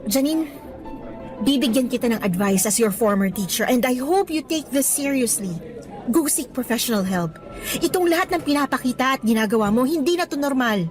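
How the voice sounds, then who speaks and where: A woman's voice: high voice, expressive, moderate pace, music in the background. An actress playing a firm, exasperated young female teacher in a radio drama, lecturing sternly in Tagalog mixed with English. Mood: stern, admonishing.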